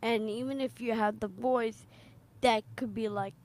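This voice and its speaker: A woman's voice: on the high side, fairly even intonation, a little slow, a little mellow. A woman on a podcast, earnestly telling a story in a chatty way. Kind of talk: casual podcast chat.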